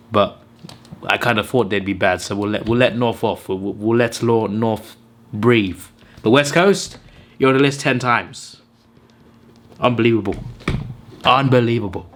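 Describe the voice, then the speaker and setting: Hoarse man tallying in mock outrage. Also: medium pitch, fairly bright tone. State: hoarse, under the weather. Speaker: channel host. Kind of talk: casual commentary reading out viewer tips.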